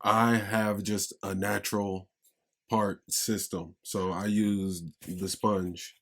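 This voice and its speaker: A man's voice: lowish voice, little pitch movement, takes it slowly, vocal fry, a bit bright. A young man from Pittsburgh hosting a casual podcast interview, upbeat and slangy with hype greetings. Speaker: podcast host.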